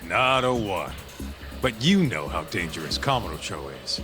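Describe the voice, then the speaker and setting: A man's voice: sits low, very animated, normal speed, over music. An actor playing a blunt, streetwise tough-guy sidekick in a video game cutscene, crude but loyal. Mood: smug. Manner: blunt, slangy, swearing.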